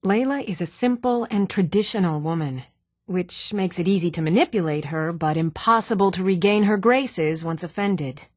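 An actress voicing an even-toned young Nordic townswoman and warrior in a fantasy video game, measured and clearly spoken. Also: lowish voice, no echo, clean studio sound, natural voice. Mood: cool, measured. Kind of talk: scripted video game dialogue.

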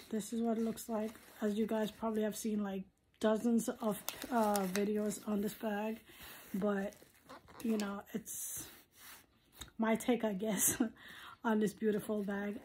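A woman with a hoarse, husky voice, giving a casual, rambling product review as a vlogger at home. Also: medium pitch, dark tone, a little hiss or hum, low-quality recording. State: hoarse, recovering from surgery. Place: living room at home.

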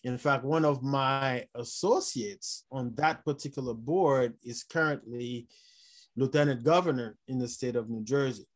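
Haitian-accented man on a video call. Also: mid-range voice. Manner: warm, chuckling, unhurried with many fillers. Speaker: panelist, chief medical officer. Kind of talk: conference talk over video call. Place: office with blinds and glasses display. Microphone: video call webcam.

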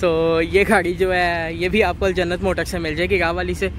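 A man walking viewers around used cars at an outdoor bazaar, listing their features in a steady, repetitive way. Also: sits high, moderate pace. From Pakistan. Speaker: car market video host. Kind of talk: walkaround car review for viewers. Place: outdoor car bazaar, dusty lot. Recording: outdoor market noise behind.

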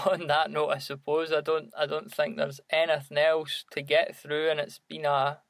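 Scottish man, higher-pitched, gravelly, chatting casually. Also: completely flat delivery, normal speed, fairly bright tone, no echo. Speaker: podcast co-host. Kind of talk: casual podcast chat.

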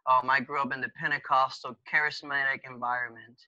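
Spanish-native guy on a video call, halting with lots of uhs, dry muffled sound. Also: medium pitch, little pitch movement, sharp tone, dry recording. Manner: halting, many filler uh pauses. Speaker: guest. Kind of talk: casual conversation over video call. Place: small room at home. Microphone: webcam video call. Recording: dull lo-fi webcam audio.

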